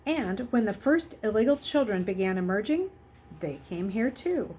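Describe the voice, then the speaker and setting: A woman's voice: mid-range voice, big pitch swings, normal speed, a little mellow, slight background noise, natural voice. A woman voicing a wealthy older male schoolmaster in a storybook, with formal, rhetorical phrasing and a bitter, wry temper. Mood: wry, emphatic. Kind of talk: children's novel read aloud.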